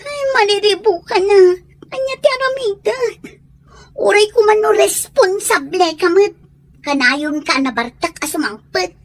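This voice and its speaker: A woman's voice: very high voice, measured pace. A woman playing an anxious, sulky young pregnant student girlfriend in an audio drama. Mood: worried, reproachful. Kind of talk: Ilocano audio drama acting.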